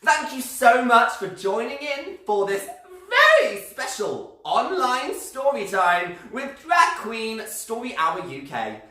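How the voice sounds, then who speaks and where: A woman's voice: fairly high pitch, wildly swooping pitch, takes it slowly, hardly any pauses, pushed and bright. A woman hosting a children's online show, speaking in an effusive, gushing, sing-song way. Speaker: story hour host. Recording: very echoey, reverberant room.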